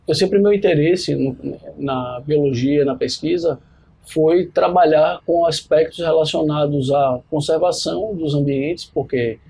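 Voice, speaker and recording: Mellow, husky Bahian Brazilian man. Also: fairly high pitch, measured pace. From Salvador, Bahia, Brazil. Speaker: podcast guest, biology professor and researcher. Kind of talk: conversational account of own career.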